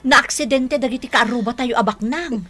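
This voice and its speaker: A woman's voice: fairly high pitch, moderate pace, bright, forceful tone. A woman acting an earnest, pious, gossipy Filipino village neighbour in an Ilocano radio drama. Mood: alarmed.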